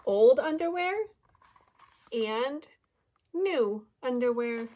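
A woman in her thirties, a teacher reading a picture book aloud to children with animated, exaggerated delivery and drawn-out words. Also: fairly high pitch. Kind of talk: expressive children's storybook read-aloud. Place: small indoor room with classroom displays.

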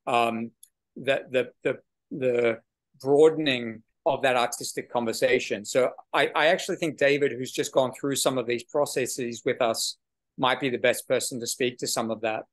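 Measured man on a video call. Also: mid-range voice, steady tone. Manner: measured, thoughtful, slightly halting with fillers. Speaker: executive director of the arts venue. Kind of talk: panel conversation on a video call. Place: small room with brick wall. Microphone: video call webcam.

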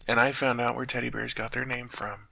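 A man acts out a sweet, naive teddy bear who has become a tall man, for an ASMR roleplay. His voice is very bright and crisp-edged with a gravelly creak, recorded close and dry. He talks in an innocent, earnest, chatty way, easily puzzled by human things. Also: medium pitch, flat delivery, brisk, dry recording. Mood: playfully indignant. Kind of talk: ASMR roleplay addressed to the listener.

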